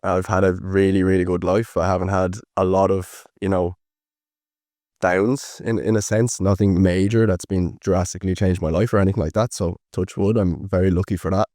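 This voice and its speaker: A young man with an Irish accent talks easily as a podcast guest, wandering through his answers with a steady stream of fillers. His voice sits very low, and the sound is dry and close. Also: moderate pace, no room sound. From Ireland. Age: 20s. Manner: relaxed, rambling with frequent fillers. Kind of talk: casual interview chat.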